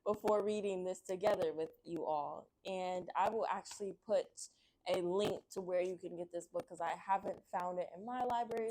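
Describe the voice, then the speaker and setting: A woman's voice: lowish voice, mellow, slight background noise, low-quality recording. Woman reading a picture book aloud. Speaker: children's read-aloud host. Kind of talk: picture book read-aloud, friendly address to children.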